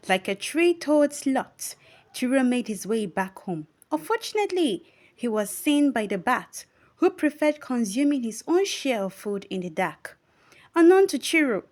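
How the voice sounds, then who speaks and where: A woman narrating a scripted children's bedtime story as a storyteller, with deliberate, expressive read-aloud phrasing. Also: on the high side.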